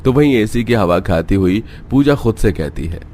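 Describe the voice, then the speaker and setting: A man's voice: fairly low pitch, lively intonation, moderate pace, a little mellow, slight background noise. A man reading scripted narration for a cartoon story. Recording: music playing behind the voice. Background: background music.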